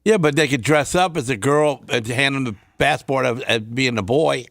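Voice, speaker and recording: A man's voice: mid-range voice, normal speed, vocal fry, fairly bright tone, no echo, studio quality. A man from a show's crew chiming in with casual, colloquial banter.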